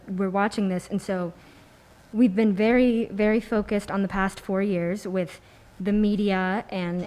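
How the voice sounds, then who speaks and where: A young American woman giving her reflections in a discussion, articulate and measured with fairly formal wording. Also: medium pitch, darkish tone. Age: young adult.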